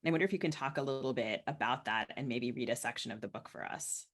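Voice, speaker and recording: Mid-pitched man hosting a halting interview. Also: a bit bright. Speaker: podcast host. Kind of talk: interview questioning with quoted reading.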